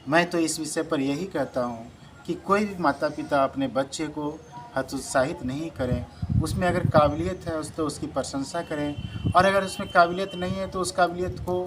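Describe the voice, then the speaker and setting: A middle-aged man, a school principal, telling a story about a pupil in an earnest, measured way and ending with advice for parents. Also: on the high side. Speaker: school principal and channel host. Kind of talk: reflective storytelling monologue with a moral. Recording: noticeable background noise.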